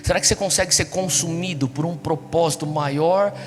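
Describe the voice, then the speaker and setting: Brazilian male preacher, high-pitched, in echoey hall. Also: fairly quick, a little hiss or hum. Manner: exhortative, rhetorical questions and repetition. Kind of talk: sermon to a young congregation. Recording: echoey large hall, music behind. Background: music.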